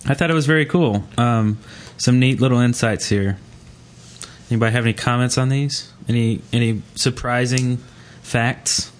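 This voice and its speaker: A man hosting a casual gaming podcast, talking in a halting, rambling way. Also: medium pitch. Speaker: podcast host.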